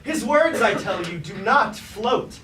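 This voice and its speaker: A man's voice: sits high, lively intonation, slow, crowd noise. An actor playing a scheming, grandiose robot king in a staged tragedy, speaking pompous, archaic theatrical lines. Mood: persuasive, oratorical. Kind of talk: staged reading of a mock Greek tragedy. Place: indoor staged reading, mic far from actor. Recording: roomy, distant, noisy live stage recording.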